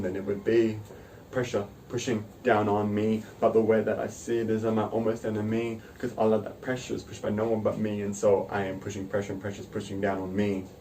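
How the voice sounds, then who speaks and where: A young man, a student, reciting slam poetry earnestly and in a steady rhythm. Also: fairly low pitch. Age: young adult. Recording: distant pickup with faint noise.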